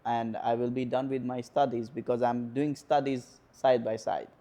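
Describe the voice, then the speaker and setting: A man in his twenties with an Indian accent, a guest answering questions in a casual interview, hesitant with many pauses and fillers. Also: medium pitch, little pitch movement, mellow. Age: 20s.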